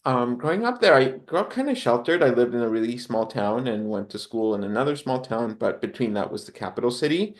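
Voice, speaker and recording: A man's voice: mid-range voice, expressive, fairly quick, hardly any pauses. A man from Honduras chatting casually as a podcast guest, in a reflective, rambling way with hesitant phrasing. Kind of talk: casual interview chat.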